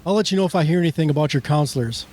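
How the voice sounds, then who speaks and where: A man's voice: a little high, rapid-fire, darkish tone, natural voice. A man playing a stern, threatening small-town sheriff and father in an amateur radio play.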